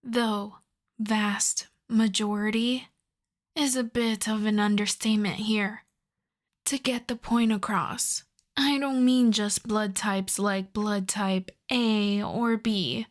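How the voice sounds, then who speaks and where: A woman voicing an obsessive, starved female vampire in an ASMR roleplay, speaking to the listener with breathy, possessive savoring. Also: mid-range voice, close to an everyday voice.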